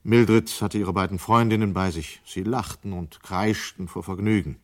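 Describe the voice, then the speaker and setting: Deep-voiced male radio drama narrator. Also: steady tone, moderate pace. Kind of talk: scripted literary narration.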